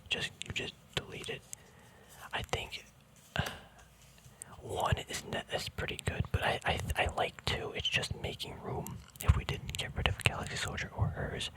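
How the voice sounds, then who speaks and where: A man speaking entirely in a soft, breathy whisper, rambling casually and informally in a laid-back ASMR style. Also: low-quality recording. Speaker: ASMR card collector host.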